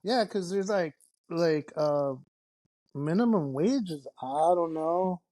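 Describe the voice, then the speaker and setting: A man's voice: a little high, slow pace. A man from Mexico, a podcast guest, talking casually with many fillers and curse words. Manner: relaxed, swearing, lots of fillers. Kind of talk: casual chat.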